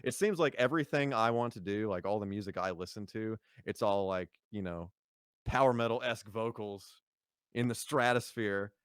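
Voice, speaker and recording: Low-voiced man in relaxed chat. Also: normal speed, clean tone, no room sound, clean studio sound. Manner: relaxed, rambling with fillers and laughs. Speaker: podcast guest, singer. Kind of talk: casual podcast chat.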